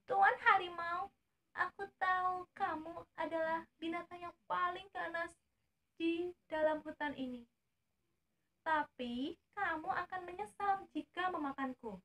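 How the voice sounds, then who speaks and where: A woman's voice: very high voice, a little slow, pushed and bright, a little hiss or hum, pitched above the performer's own voice, exaggerated voice, poor audio. A woman voicing a sly, boastful fox for a children's story, speaking pompously as if indignant. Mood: sly, persuasive.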